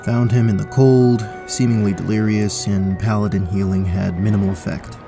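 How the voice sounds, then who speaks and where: Man playing a gravelly, stoic paladin. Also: lowish voice, fairly even intonation, normal speed, over music. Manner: terse, formal, serious. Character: stoic, dutiful male paladin inspector. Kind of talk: tabletop fantasy audio drama acting.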